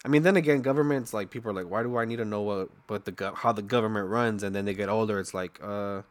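Laid-back low-voiced streamer host. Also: male voice, quick pace. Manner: laid-back, laughs often, retells chats with quotes. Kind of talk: casual conversation.

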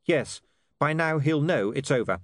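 A man's voice: fairly high pitch, lively intonation, a little slow, dry recording, pitched above the performer's own voice. A man voicing an earnest, bitter young man of privileged birth in an audiobook, with a clipped, emphatic way of talking. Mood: agitated, bitter.

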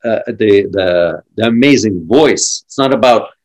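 A man's voice: fairly low pitch, a little slow. French-accented man telling stories. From France. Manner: animated storytelling with frequent restarts. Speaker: drummer and podcast guest. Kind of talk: casual conversation.

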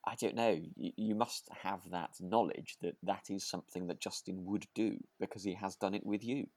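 A man's voice: deep voice, steady tone, normal speed, a little mellow, dry recording. A man co-hosting a podcast, casually recapping in a hesitant way as he searches for words. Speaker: podcast co-host. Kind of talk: casual chat recapping a radio drama.

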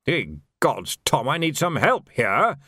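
A man's voice: on the high side, very animated, slow pace, pushed and bright, breathy edge, dry recording, studio quality, voice pushed up, full character voice. A man voicing a newly promoted army major who sounds prim and flustered. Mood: uneasy.

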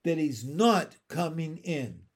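A man voicing a calm nanny in a storybook, firm and matter-of-fact in manner. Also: a little high, takes it slowly, breathy edge, exaggerated voice.

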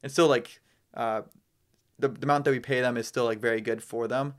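A man's voice: medium pitch, fairly even intonation, brisk. A man with a mid-range voice speaks as a guest in a casual podcast chat. He explains things loosely and informally, peppering his sentences with slang and filler. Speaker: podcast guest, real estate business owner.

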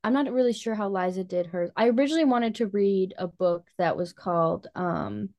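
A woman's voice: medium pitch, a little fast, a little mellow, lo-fi sound. A woman co-hosting a podcast, talking about books in an informal, conversational style with casual slang. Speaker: podcast co-host. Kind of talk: casual book chat.

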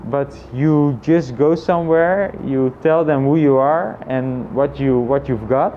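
A man with an average-pitched voice talks through his thoughts in a casual vlog. His delivery is reflective and halting, often repeating phrases as he finds his way. The sound is a little dull, with faint traffic noise behind him. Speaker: vlogger.

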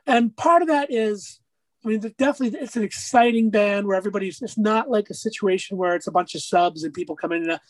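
A man with a raspy speaking voice, a musician guest on an interview, talking casually and rambling through stories with lots of fillers. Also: sits high, fast talker, dry recording, poor audio. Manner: rambling storyteller, many fillers.

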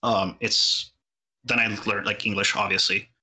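A man from Canada, a native Portuguese speaker, chatting casually as a podcast guest in a rambling way, full of filler words and quick laughs. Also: lowish voice, steady tone, pushed and bright, slightly rough, rough recording. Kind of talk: casual interview chat.

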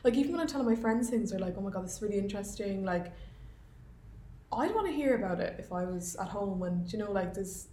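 A woman's voice: mid-range voice, expressive, fast, mellow, a little hiss or hum. A young Irish woman co-hosting a casual podcast, laid-back and agreeable, often saying "yeah" and "like". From Ireland. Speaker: podcast co-host. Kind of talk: casual chat. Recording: roomy echo with faint ambience.

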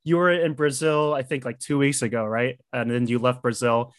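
A man's voice: mid-range voice, level tone, moderate pace, dry recording, studio quality. A man hosting an interview podcast, upbeat and casual, saying things like "right on" and using fillers. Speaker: podcast host.

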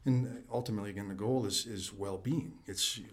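Measured man hosting a podcast. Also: on the low side. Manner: measured, with frequent repeated words. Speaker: podcast co-host and associate dean.

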